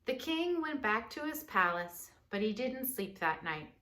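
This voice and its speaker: A woman reads a children's story aloud with a bright, forward voice. Her pace is slow and expressive, with dramatic pauses and conversational asides to the listeners. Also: a little high.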